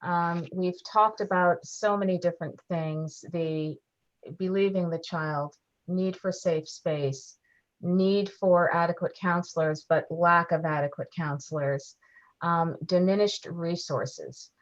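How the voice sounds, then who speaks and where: A woman's voice: on the low side, steady tone, takes it slowly. A woman moderating a panel discussion, leading from one question to the next. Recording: dull lo-fi audio.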